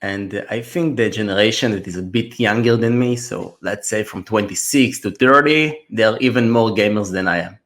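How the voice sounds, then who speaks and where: A man's voice: on the low side, moderate pace, hardly any pauses, fairly bright tone. A man in his thirties from Russia with a Russian accent, telling his life story earnestly as a guest on a casual trading podcast. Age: 30s. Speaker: trader and mentor, podcast guest.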